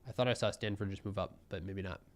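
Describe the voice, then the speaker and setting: A young man giving relaxed, musing live commentary on a programming contest, often trailing off mid-thought. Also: deep voice, fast talker.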